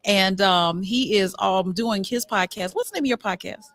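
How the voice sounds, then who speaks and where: A woman's voice: mid-range voice, normal speed, a bit bright. An American woman hosting casually at a live event, talking in a chatty, playful and teasing way. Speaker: show host.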